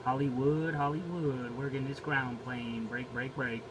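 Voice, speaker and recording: A man's voice: medium pitch, fairly even intonation, measured pace. An American man making slangy CB radio calls, repeating catchphrases and call signs. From New Jersey, United States. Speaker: CB radio operator. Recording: noisy radio chatter and vehicle ambience.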